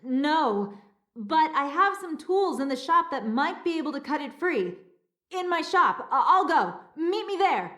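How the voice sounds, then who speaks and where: A woman acting as an eager, helpful, excitable shop owner in a video game, in a roomy, echoing sound. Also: high voice, unhurried, heavily acted.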